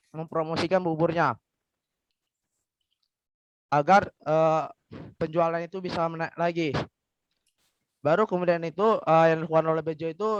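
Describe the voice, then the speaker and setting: A man's voice: a little high, clear, resonant tone, no echo, lo-fi sound. A male student explaining his answer in a class debate over a video call, with a slight cough and many fillers. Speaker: student presenter.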